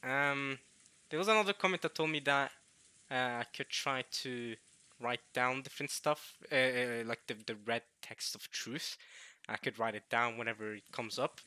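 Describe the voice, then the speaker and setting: Young man, very bright sharp tone, dry close sound, halting casual chat, stumbling restarts. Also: medium pitch, clean tone, dry recording. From Norway. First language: Norwegian. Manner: halting, restarts often, chuckling self-deprecation. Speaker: visual novel let's player.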